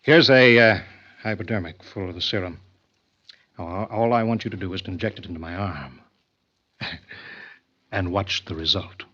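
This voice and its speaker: An actor playing an arrogant, insistent mad scientist in an old radio thriller, on a muffled vintage recording. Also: male voice, sits low, moderate pace, pauses often, sharp tone. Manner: insistent, gloating, domineering. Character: gleeful, arrogant mad scientist turning himself invisible.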